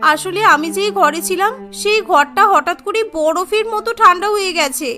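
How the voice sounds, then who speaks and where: A woman voicing a naive, easily frightened young village woman in an animated story, with an exclaiming, guileless manner. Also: very high voice, moderate pace, soft background music. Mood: frightened, apologetic.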